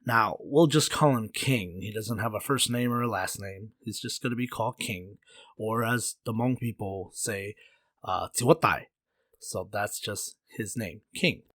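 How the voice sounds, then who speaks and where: A man's voice: on the low side, unhurried, fairly bright tone, no room sound, clean studio sound. A man telling a folktale as a storyteller in a casual, conversational way, with playful slang.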